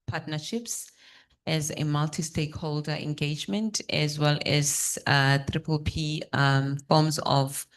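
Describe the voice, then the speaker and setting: Lady moderating a panel, really low voice, lots of ums, kinda formal. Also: fairly even intonation. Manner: halting, many fillers, formal phrasing.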